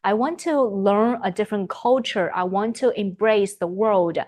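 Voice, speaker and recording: A woman's voice: mid-range voice, normal speed, a little mellow. Chinese woman hosting an interview. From China. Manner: friendly, encouraging, short prompts. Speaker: interviewer, video host. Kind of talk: casual interview.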